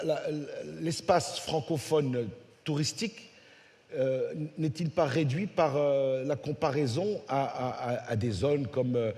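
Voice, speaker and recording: A man's voice: fairly high pitch, darkish tone. A man moderating a conference panel, asking long roundabout questions with many hesitations. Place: conference hall. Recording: reverberant conference hall.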